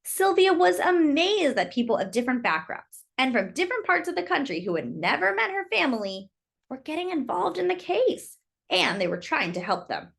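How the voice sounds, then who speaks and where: A woman reading a children's book aloud in expressive storytelling narration. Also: high voice, moderate pace, vocal fry.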